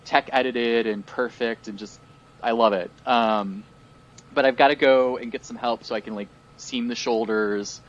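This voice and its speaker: A man's voice: mid-range voice, fairly even intonation, normal speed, lo-fi sound. A man co-hosting a casual chat podcast, rambling and full of fillers while he is losing his voice. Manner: chatty and rambling, many fillers. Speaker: podcast co-host.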